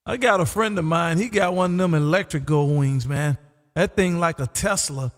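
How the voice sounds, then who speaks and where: A man hosting a live ham radio stream, chatting casually and folksy, his voice going hoarse. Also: fairly high pitch, moderate pace, long reverb tail. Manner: laid-back, folksy, says "man" often. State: losing his voice. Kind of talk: casual on-air radio chat.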